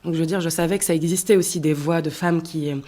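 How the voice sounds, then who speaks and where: A woman in her thirties, from Tunisia, speaks with a slightly low voice. She answers interview questions with care, often pausing to hunt for words and restarting her sentences. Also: steady tone, dark tone. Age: 30s. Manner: thoughtful, many hesitations and restarts. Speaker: singer-songwriter guest.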